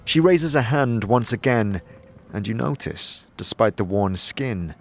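A man narrating scripted second-person fantasy with deliberate, dramatic storytelling. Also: mid-range voice, expressive.

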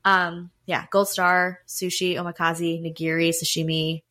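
A woman from North Carolina co-hosting a podcast, chatty and animated, filling her talk with "like". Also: fairly low pitch, measured pace. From United States (North Carolina). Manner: chatty, animated, heavy use of "like". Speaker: podcast co-host. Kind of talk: casual chat.

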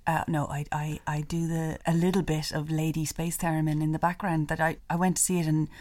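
A woman's voice: deep voice, level tone, a little fast, darkish tone. A woman co-hosting a culture podcast, chatting casually, laughing often and restarting her sentences. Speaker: podcast co-host. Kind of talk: casual chat.

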